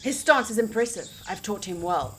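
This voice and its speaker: A young woman reading visual novel narration aloud as a streamer, expressive and informal, with casual asides. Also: mid-range voice, moderate pace, faint music behind.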